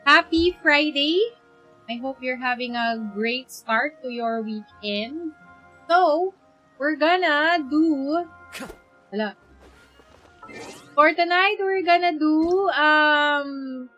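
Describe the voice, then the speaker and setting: A high, bright female voice with a Filipino accent takes its time over casual livestream chat. She is a native Tagalog speaker and often pauses to search for the next word, thinking aloud. Soft music plays behind her. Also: highs cut off. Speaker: live game streamer.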